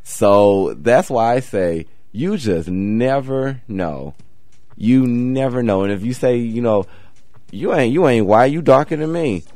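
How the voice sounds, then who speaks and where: A man hosting a talk show, asking questions and telling a story in a lively way that rises with emphasis. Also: mid-range voice, measured pace, no room sound. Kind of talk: interview and anecdote.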